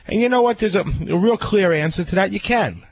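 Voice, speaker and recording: A man's voice: high-pitched, expressive, fairly quick, vocal fry, no room sound. A man with a nasal, congested voice who is a guest dog groomer, giving casual advice in a chatty, rambling way with many fillers. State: congested from a cold.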